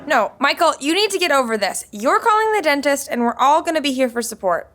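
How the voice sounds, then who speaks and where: A bossy, peppy sitcom roommate. Her voice is high and animated, with clear noise on the recording. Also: a little fast. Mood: bossy, exasperated. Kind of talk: sitcom acting.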